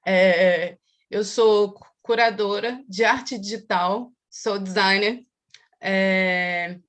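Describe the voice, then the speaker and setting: A woman's voice: mid-range voice, fairly even intonation, fairly bright tone. A woman from Brazil with a Brazilian Portuguese accent, a native Portuguese speaker, introducing herself as a panelist on a video call. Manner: halting in English, fluent in Portuguese. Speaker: panelist, artist and researcher. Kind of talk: self-introduction on an online panel.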